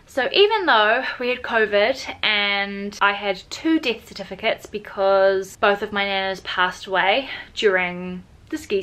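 A woman's voice: mid-range voice, sharp tone. A woman, chatting casually to camera in her bedroom, bubbly and full of ums and likes. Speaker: vlogger. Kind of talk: casual chatty vlog talk. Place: bedroom at home, indoors.

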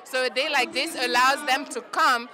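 A woman's voice: high voice, fairly even intonation, normal speed. An enthusiastic young woman giving a heartfelt interview answer outdoors. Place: crowded outdoor festival. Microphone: handheld interview microphone. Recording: music and crowd behind.

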